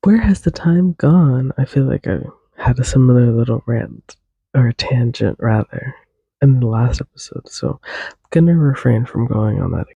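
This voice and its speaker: A man's voice: mid-range voice, animated, moderate pace, creaky, mellow, breathy edge, no room sound, muffled recording. A young man hosting a solo podcast, rambling casually and a little congested, drifting off on tangents and humming tunes. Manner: casual, tangent-prone, hums and sings tunes. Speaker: podcast host.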